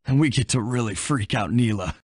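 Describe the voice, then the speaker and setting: An actor playing a suave, wry young raccoon thief in a video game, casual and confident. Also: male voice, mid-range voice, a little fast, darkish tone, husky, dry recording, natural voice.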